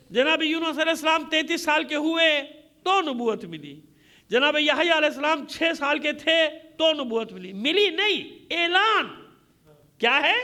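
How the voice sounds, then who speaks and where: A man's voice: very high-pitched, pushed and bright. A man preaching an impassioned majlis sermon in a combative, declamatory way, using rhetorical questions and emphatic repetition. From Pakistan.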